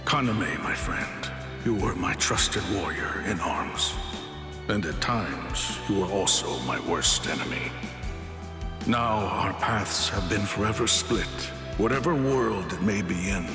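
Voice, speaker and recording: A low, heavy male voice carries an honorable warrior captain from an anime game. He is solemn and sorrowful, loyal to the core, and speaks with formal earnestness. Music plays clearly behind him. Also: animated, slow. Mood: mournful, reflective. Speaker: video game voice actor. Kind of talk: scripted anime game cutscene dialogue.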